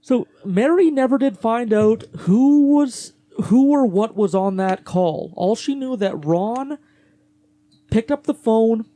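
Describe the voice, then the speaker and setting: A man's voice: extremely high pitch, expressive, takes it slowly, dark tone, no room sound. A man hosting a podcast, telling a true-crime case in a casual, conversational way with fillers and restarts. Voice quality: voice gets gravelly later in the day. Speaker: podcast host narrating a case.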